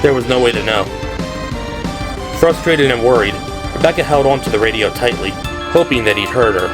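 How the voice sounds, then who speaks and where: Male dramatic narrator over loud music. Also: mid-range voice, brisk. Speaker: amateur audio drama narrator.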